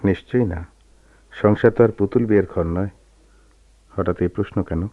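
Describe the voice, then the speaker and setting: A man acting a melancholy, soft-spoken educated gentleman with measured, literary phrasing in a Bengali radio drama. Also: fairly low pitch, dry recording, lightly acted. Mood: pained restraint. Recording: dull lo-fi radio drama recording.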